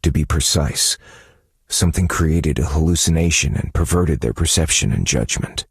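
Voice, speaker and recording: A brooding detective-novelist in a mystery game speaks in an extremely low, husky voice. He is analytical and measured, murmuring thoughtfully to himself. The sound is dry and close, with a gravelly rasp. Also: no room sound, full character voice. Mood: grave, reflective. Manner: measured, contemplative, musing to himself with murmured hums. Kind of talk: scripted game character monologue.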